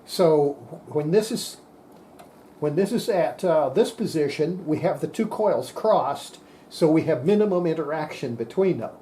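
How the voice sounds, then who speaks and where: A man's voice: on the high side, expressive, measured pace, warm tone, slight background noise. A man giving an informal hands-on technical explanation, plain-spoken and folksy, thinking aloud as he demonstrates equipment. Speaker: presenter demonstrating radio equipment.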